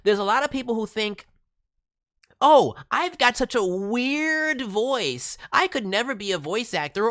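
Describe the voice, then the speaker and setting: A performer voicing a cheeky, excitable non-human cartoon mascot who hosts a casual stream with boastful, playful word-mangling. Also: medium pitch, no echo, clean studio sound. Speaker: host reviewing voice demo reels. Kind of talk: casual live stream commentary.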